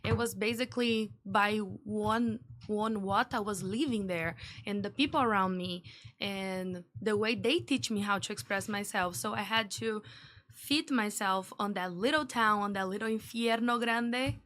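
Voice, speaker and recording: A woman's voice: mid-range voice, a little slow, fairly bright tone. A young Brazilian woman with a Brazilian Portuguese accent, a native Portuguese speaker talking casually in English as a podcast guest, sometimes searching for words. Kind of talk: casual chat. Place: radio station studio.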